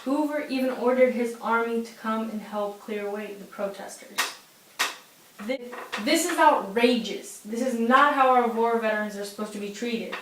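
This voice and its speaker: High-voiced woman reading news in echoey room. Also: moderate pace. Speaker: news anchor in an amateur newscast. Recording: roomy echo with faint hiss.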